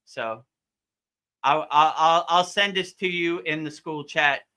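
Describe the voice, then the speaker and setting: A man's voice: fairly high pitch, slow, bright, forceful tone. A man hosting a live question-and-answer session as a yoga teacher, chatting informally and often repeating and rephrasing his points. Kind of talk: casual live Q&A chat.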